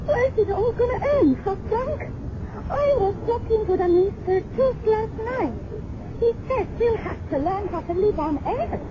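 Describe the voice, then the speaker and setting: A woman's voice: extremely high pitch, animated, a little slow. A woman playing a fretful, plaintive elderly grandmother in a 1970s radio drama. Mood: grumbling. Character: chatty elderly grandmother shopper, frightened and pleading. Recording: muffled, noisy 1970s radio recording.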